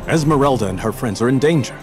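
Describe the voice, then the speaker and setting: A man playing a confident, honourable guard captain in a video game, assured and crisp. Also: mid-range voice, lively intonation, quick pace, music in the background, lightly acted. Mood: urgent.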